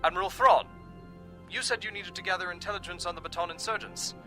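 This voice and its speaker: A man's voice: sits high, fairly even intonation, fast talker, sharp tone. A man playing a curt, imperious senior fleet admiral in a scripted comic dub, clipped, commanding and sardonic. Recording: music under the dialogue.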